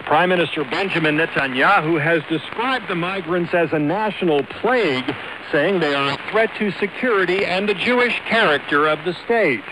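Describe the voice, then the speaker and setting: Male radio reporter, high voice, scripted news, hissy shortwave reception. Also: normal speed.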